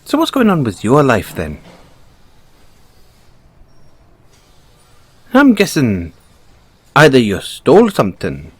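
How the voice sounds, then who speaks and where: A man voicing a polite, inquisitive Irish bunny-boy car mechanic in an audio roleplay, with an Irish accent and a gently probing, wryly understated, hesitant way of talking. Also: medium pitch, wildly swooping pitch, normal speed, slightly rough, dry recording.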